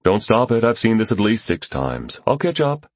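A man's voice: on the low side, very animated, moderate pace, dry recording, voice pushed up. A man voicing an easygoing, joking family friend in an audiobook, casual and offhand. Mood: breezy.

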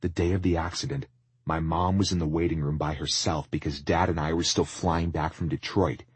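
A man's voice: extremely low pitch, fairly even intonation, fairly quick, breathy edge, no echo, full character voice. A man voicing an intense, wounded young man in love, speaking earnestly in an audiobook. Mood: tense, pained. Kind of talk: audiobook character acting.

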